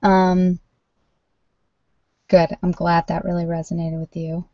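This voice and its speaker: A woman chatting casually as a livestream host reading cards, thinking aloud in an informal, halting way, on dull lo-fi audio. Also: on the low side, flat delivery, dry recording. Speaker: livestream host doing tarot card readings. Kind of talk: casual live chat with viewers, card reading. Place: at home, indoors.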